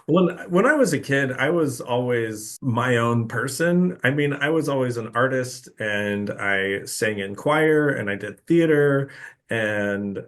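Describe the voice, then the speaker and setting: A man in his thirties talks through his thoughts in an easygoing, reflective manner, laughing now and then. His voice sits at an ordinary middle pitch. He leans on casual fillers as he speaks. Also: expressive, a little slow, a bit bright. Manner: reflective, laughs often, uses "like" a lot. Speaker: podcast guest, artist. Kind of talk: relaxed conversational interview.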